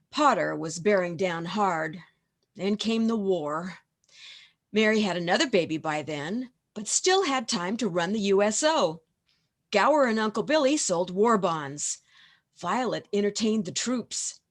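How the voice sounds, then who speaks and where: Woman acting as heavenly narrator, radio play, middle pitch, measured storytelling. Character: knowing heavenly senior angel narrating to Clarence. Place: home, over video call.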